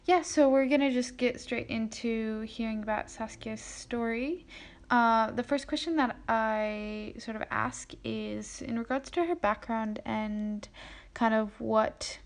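A woman hosting a podcast, giving an upbeat, clearly paced introduction to her guest. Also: fairly high pitch. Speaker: podcast host. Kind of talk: scripted show intro. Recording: voice over background music.

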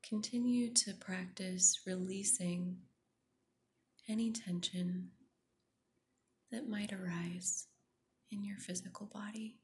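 A woman guiding a meditation in a soft, breathy near-whisper, gentle and soothing. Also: medium pitch, fairly even intonation, slow, long pauses, a little hiss or hum. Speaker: meditation guide, podcast host. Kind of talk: guided meditation.

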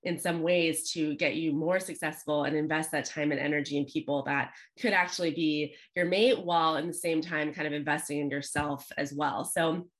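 Low-voiced woman from Los Angeles. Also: fairly even intonation, normal speed, hardly any pauses. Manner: chatty, upbeat, frequent fillers. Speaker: guest, relationship coach. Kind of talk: podcast interview.

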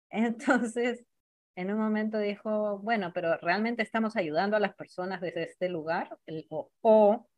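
Young adult woman guest, mellow and unhurried, easygoing interview talk, dry close mic. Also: medium pitch, no room sound. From Israel. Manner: relaxed, chatty, laughs lightly while telling her story. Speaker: podcast guest, alternative therapist. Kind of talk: casual interview storytelling.

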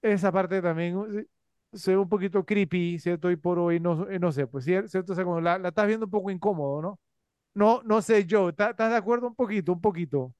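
Male podcast co-host, high clear voice, rambling and filler-heavy. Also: brisk, a little mellow, no room sound. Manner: filler-heavy, rambling with frequent tag questions. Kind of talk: casual movie review chat.

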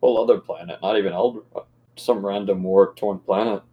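A man's voice: fairly low pitch, fairly quick, phone-quality sound. A man chatting casually as a panelist on a podcast. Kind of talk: casual chat.

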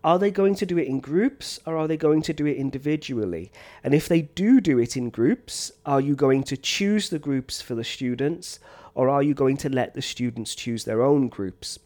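A man talks teachers through classroom activities in a relaxed, unscripted way. He fills pauses with ums and restarts his phrases, and a slight cough lingers from a chest infection. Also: medium pitch. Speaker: science teacher. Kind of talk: teacher training talk.

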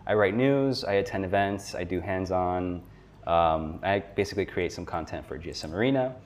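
A young man with a fairly low voice chats as a guest on a tech podcast. He is from South Florida and talks in a loose, rambling way, with frequent laughs and filler words. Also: normal speed, slight background noise. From South Florida, USA. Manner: relaxed, rambling, laughs often. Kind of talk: casual conversation.

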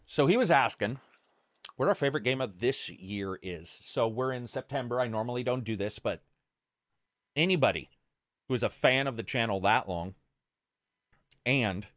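A man's voice: medium pitch, fairly bright tone, no room sound, clean studio sound. A man hosting a gaming podcast in casual, unscripted chat, with an informal and self-deprecating manner.

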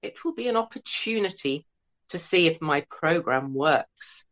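A woman voicing a cool, calculating hacker entity in a scripted science fiction audio drama, measured and formal. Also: deep voice, lively intonation, a bit bright. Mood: calculating, watchful.

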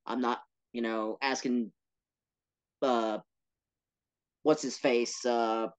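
A man talking as a podcast guest about his work, earnest and animated, with playful asides. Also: mid-range voice, slow, smooth. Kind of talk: casual interview talk.

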